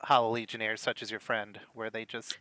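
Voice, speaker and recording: A man's voice: on the low side, flat delivery, a little fast, bright, forceful tone, no echo, rough recording. A man voicing a chatty, joking halfling musician. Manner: rambling, teasing, fond of jokes.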